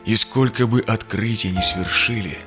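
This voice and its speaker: Audio drama casting: male actor for middle-aged Cossack ataman, lowish voice, honorable and sorrowful, declaiming verse over music. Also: natural voice. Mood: philosophical, resolute. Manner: solemn, declamatory, measured rhymed delivery. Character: seasoned Cossack ataman explorer, honorable, sorrowful, longing for home.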